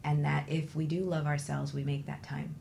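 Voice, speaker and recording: A woman's voice: sits low, level tone, moderate pace, vocal fry, warm tone, slight background noise. A woman hosting a conversational talk show, explaining things reflectively and often checking in with the listener.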